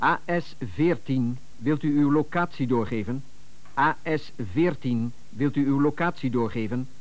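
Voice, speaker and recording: Male actor, radio play dispatcher: middle pitch, formal, careful, courteous authority. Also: lightly acted. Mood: calm, official. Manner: measured, courteous, official. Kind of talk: radio play acting as police dispatch talk.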